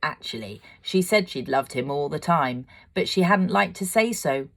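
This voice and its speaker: A woman voicing a cheerful, chatty boy in a storybook, with a lively way of talking. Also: sits low, moderate pace, vocal fry, heavily acted. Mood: excited.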